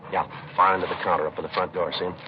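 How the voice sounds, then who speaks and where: A noisy old radio recording of a man acting a hard-nosed police sergeant. He has a low voice and talks in quick, clipped, matter-of-fact sentences, as in a 1950s crime drama. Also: level tone, muffled recording, natural voice. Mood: businesslike. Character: terse, businesslike male police detective sergeant. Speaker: narrator and lead detective.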